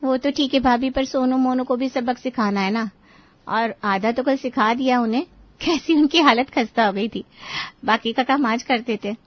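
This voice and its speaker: A woman's voice: on the high side, moderate pace, dry recording, muffled recording, close to an everyday voice. A woman playing a young, knowing and firm sister-in-law who schemes in a cartoon family story. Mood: gleeful.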